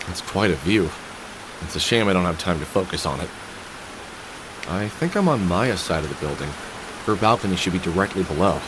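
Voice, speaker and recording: Game lead: middle-aged loner, weary, wry, heartsick, lively intonation, noisy rainy backdrop. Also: male voice, deep voice, a little fast, pauses often. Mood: musing. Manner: wry, musing aloud to himself. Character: weary, wry middle-aged man haunted by lost love. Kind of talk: video game voice acting, inner monologue and dialogue.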